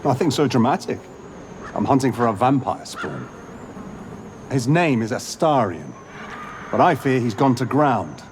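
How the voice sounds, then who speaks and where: A man's voice: medium pitch, very animated, unhurried, pauses often. A man playing a courteous, sardonic wandering monster hunter in a video game, speaking formally. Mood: grave. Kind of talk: video game character acting. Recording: clear background noise.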